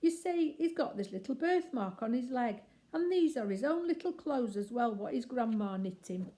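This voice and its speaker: A woman's voice: a little high, animated, moderate pace, warm tone, voice pushed up, lightly acted. A woman voicing a chatty, polite working-class lady burglar in a children's storybook, with colloquial, ungrammatical speech. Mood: emotional.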